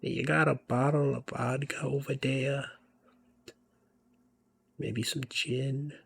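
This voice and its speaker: A man giving casual, rambling commentary over a video game, musing about himself and often dropping to a soft near-whisper. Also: medium pitch, level tone, slow pace, vocal fry, breathy edge. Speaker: let's play commentator.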